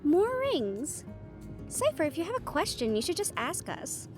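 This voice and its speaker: A woman's voice: very high-pitched, big pitch swings, a little slow, exaggerated voice. A woman playing a witty, confident young female adventurer in a playful, conversational audio roleplay. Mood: playful teasing. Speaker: amateur voice actor. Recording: music behind the voice.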